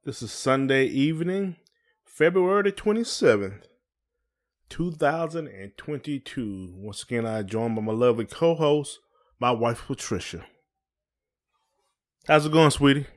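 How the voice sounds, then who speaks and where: A man chats as a podcast co-host in a mid-pitched voice. His phrasing is informal and a little hesitant, and he leads the conversation with questions. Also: expressive, measured pace, pauses often. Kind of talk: casual chat.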